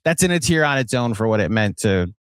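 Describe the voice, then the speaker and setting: A man's voice: on the low side, very animated, brisk, smooth, no room sound, studio quality. A man hosting a sports podcast in a casual, conversational style.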